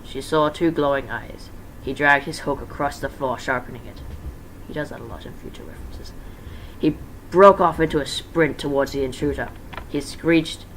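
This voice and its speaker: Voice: mid-range voice, fairly bright tone. Informal, stumbling amateur story reader, faint noise. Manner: informal, self-correcting, stumbling. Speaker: amateur fan fiction reader. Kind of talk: casual dramatic reading with asides. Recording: noisy amateur home recording.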